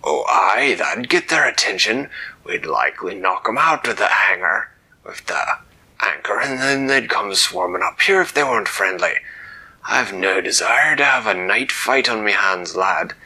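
A man voicing a gruff old male sea captain who talks in hearty sailor's dialect, full of "aye" and "lad". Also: fairly low pitch, lively intonation, a little slow, pushed and bright, heavily acted, low-quality recording. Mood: gruff, wary.

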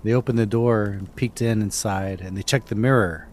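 A middle-aged man hosting a podcast, casually telling spooky stories with halting, repeated starts. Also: fairly low pitch, steady tone, darkish tone, a little hiss or hum. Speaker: podcast host and storyteller.

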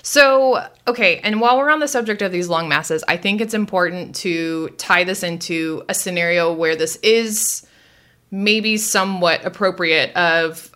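A woman's voice: fairly low pitch, a bit bright. A woman co-hosting a conversational podcast, guiding the discussion and putting questions to her partner. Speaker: co-host asking questions.

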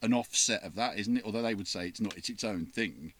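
A man with a fairly low voice hosts an interview with stage actors. His questions run long and chatty. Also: fast talker, fairly bright tone.

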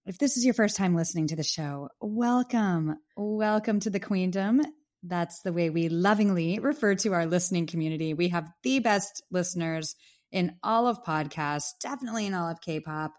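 A woman co-hosting a podcast in a casual chat, losing her voice with a slight cough, talkative and quick to laugh. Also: lowish voice, a little mellow. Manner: chatty, laughs easily. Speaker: podcast co-host.

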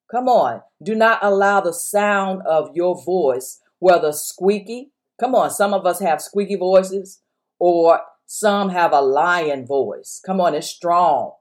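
A woman's voice: fairly low pitch, a little mellow. A woman pastor with a strong voice, preaching an encouraging sermon on a podcast and exhorting her listeners with repeated phrases.

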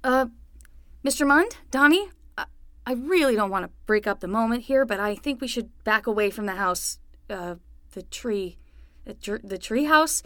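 Bright, high-pitched young nanny. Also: female voice, expressive, moderate pace, no echo, clean studio sound. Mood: nervous. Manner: hesitant yet bossy, quippy. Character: young female summer nanny, anxious, bossy sidekick. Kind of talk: fiction podcast acting.

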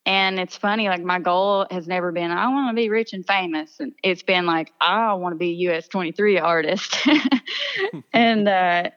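A woman's voice: fairly low pitch, fairly even intonation, normal speed, fairly bright tone, smooth. A young woman from Kentucky with a Kentucky accent, a singer-songwriter chatting easily in an interview and laughing often. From Kentucky, USA. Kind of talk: casual interview chat. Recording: dull lo-fi audio.

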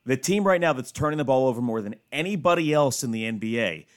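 A man's voice: mid-range voice, expressive, quick pace. A man working as a sports studio host, talking through basketball analysis in a casual, conversational way.

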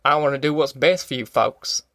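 A man's voice: mid-range voice, steady tone, moderate pace, a bit bright, no echo, studio quality, natural voice. Man voicing a humble country preacher. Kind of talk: audiobook character acting.

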